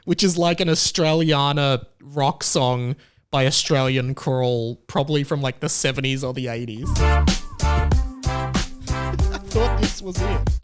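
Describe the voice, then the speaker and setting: A man hosting his own podcast, chatting casually on his own in an animated, rambling way, often restarting sentences and sounding openly incredulous. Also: medium pitch, unhurried, loud music behind the voice. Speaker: podcast host. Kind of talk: casual solo podcast chat.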